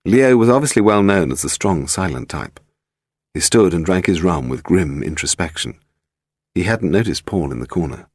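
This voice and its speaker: A man's voice: low voice, animated, normal speed, slightly rough, no echo, clean studio sound. A man with an English accent reading a scripted mystery story as an audiobook narrator.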